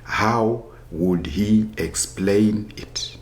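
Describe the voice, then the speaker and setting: A man reading a scripted folktale as a storyteller, in a deliberate, expressive manner. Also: fairly low pitch, a little hiss or hum.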